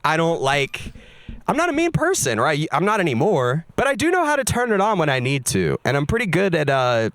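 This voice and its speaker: A man's voice: on the high side, wildly swooping pitch, brisk, a bit bright, no room sound. Candid, wry young man narrating. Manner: candid, wry and self-mocking. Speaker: streamer and musician. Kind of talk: personal narration to camera.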